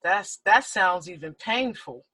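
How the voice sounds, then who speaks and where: Woman hosting a radio talk show, talks slow and measured, dry close sound. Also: fairly low pitch, lively intonation, breathy edge, dry recording. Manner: slow, measured, reacting with soft affirmations.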